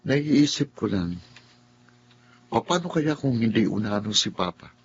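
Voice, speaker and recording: A man's voice: lowish voice, animated, measured pace. A man with a Filipino accent playing a sullen, distant young student son in a radio drama. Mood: brooding. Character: sullen, brooding schoolboy son. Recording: dull, noisy radio drama recording.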